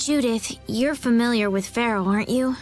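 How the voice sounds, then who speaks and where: A woman voicing a gentle, earnest, polite young noblewoman in an anime-style video game. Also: on the high side, moderate pace, faint music behind, natural voice. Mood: inquiring.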